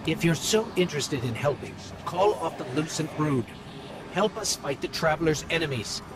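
A man's voice: fairly high pitch, expressive, moderate pace, background noise, close to an everyday voice. A man playing a small, inquisitive robot companion in a game, earnest in the way he explains and pleads. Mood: indignant. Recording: loud music and effects behind.

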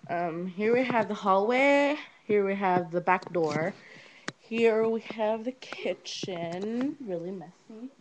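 A young woman giving a casual, rambling home tour on a webcam, informal and a little hesitant as she goes. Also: takes it slowly. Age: teenager to young adult. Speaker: vlogger. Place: rooms of a small house. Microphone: handheld webcam, moved around. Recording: noisy low-quality webcam audio.

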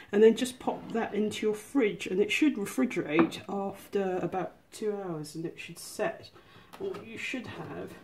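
A woman's voice: mid-range voice, mellow, a little hiss or hum. A woman hosting a casual home cooking tutorial, explaining each step in an informal, chatty way. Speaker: host of a miniature cooking channel.

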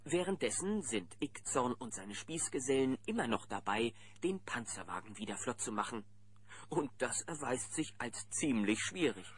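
A man narrating a children's audio drama in a lively storytelling style. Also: medium pitch, moderate pace, lightly acted. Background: loud music.

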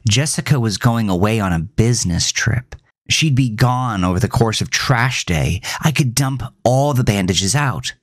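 A man narrating a first-person horror story for a podcast, with dramatic pauses and whispery emphasis. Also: fairly low pitch, lively intonation, dry recording, studio quality.

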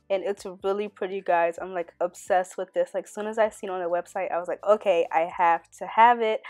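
A woman's voice: on the low side, darkish tone, no echo. A young woman recording a chatty nail tutorial voice-over, talking straight to her viewers and sounding a bit nasal from a cold. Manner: chatty, enthusiastic, addresses viewers as you guys. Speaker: beauty vlogger.

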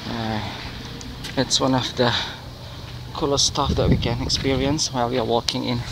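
A relaxed man talks through his walk outdoors, pointing things out as he goes. His voice is gravelly. The handheld phone recording carries a clear hiss of outdoor noise. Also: medium pitch, normal speed. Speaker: vlogger. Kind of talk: casual walking commentary. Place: outdoors on a hillside city wall trail. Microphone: handheld phone camera.

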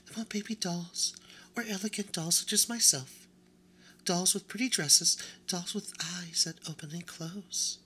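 A man voicing a vain, haughty female china doll in a high-pitched, breathy whisper. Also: a little slow, sharp tone, slight background noise. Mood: snooty. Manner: snooty and scornful.

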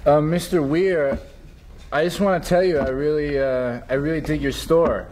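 A man's voice: fairly high pitch, moderate pace, a little mellow, a little hiss or hum, natural voice. A man reading awkward friendly teen Nick. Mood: eager. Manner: hesitant, eager to please. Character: laid-back teenage boy, Nick.

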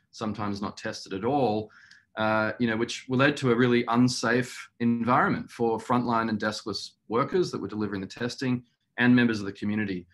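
A middle-aged man with a fairly low voice speaks on a video call as a company executive. He explains things earnestly and at a measured pace of thought, pausing often as he gathers his words. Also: a bit bright. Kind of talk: webinar interview answer. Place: small indoor room, video call.

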